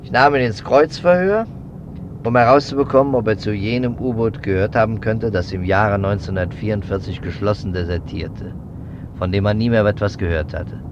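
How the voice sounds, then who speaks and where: An actor playing a methodical, suspicious investigator in a 1950s German radio drama, speaking deliberately in emphatic, clipped phrases. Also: male voice, on the low side, lightly acted. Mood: recounting. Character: persistent adult male investigator, methodical and suspicious. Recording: dull, noisy 1950s radio recording.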